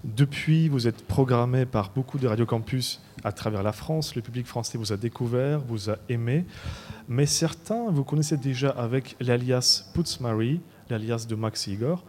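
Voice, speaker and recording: A man interviewing musicians at a festival, asking lively, quick questions. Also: medium pitch, long reverb tail, a little hiss or hum.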